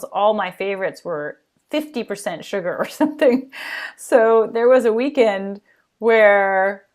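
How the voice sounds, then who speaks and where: A woman guest on a podcast, telling stories in an animated, quick way. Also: medium pitch. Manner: animated, quick, laughs while recalling. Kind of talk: casual interview chat.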